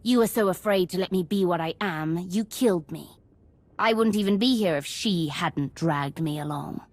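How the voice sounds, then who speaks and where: A woman's voice: lowish voice, normal speed, darkish tone, no echo, natural voice. An actress playing a bitter, powerful young woman in a video game, sardonic and contemptuous toward her family. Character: bitter, sarcastic young adult daughter, cruel and powerful. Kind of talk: video game character acting.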